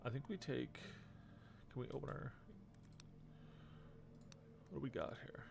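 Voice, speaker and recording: A man's voice: mid-range voice, animated, unhurried, gravelly, noisy background. A man in his thirties giving casual let's play commentary, thinking aloud in muttered fragments from a small room at home. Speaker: video game streamer. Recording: loud game music behind voice. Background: video game music.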